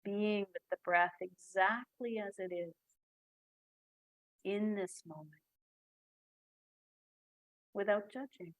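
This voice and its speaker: A woman guiding a breathing meditation in a soft, calm voice with long pauses. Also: medium pitch, poor audio. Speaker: meditation guide. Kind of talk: guided meditation.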